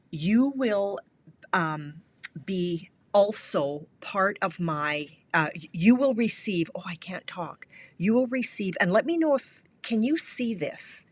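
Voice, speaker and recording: A woman's voice: fairly low pitch, no room sound. A middle-aged woman from Canada hosting a live craft tutorial, her voice stuffy and a little scratchy from a cold, chatting and greeting viewers by name. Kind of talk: casual live-stream tutorial chat.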